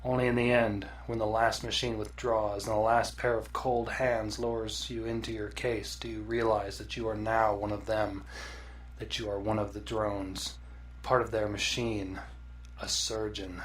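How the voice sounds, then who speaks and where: A man reading scripted horror fiction as a narrator, in a deliberate, dramatic storytelling manner. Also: lowish voice, little pitch movement. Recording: distant mic with faint noise.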